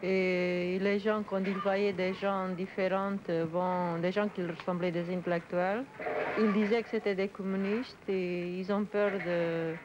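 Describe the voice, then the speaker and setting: A woman's voice: mid-range voice, little pitch movement, a little slow, background noise. A woman from Portugal, giving personal testimony in plain, simple sentences. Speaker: interviewee. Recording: dull recording with music behind.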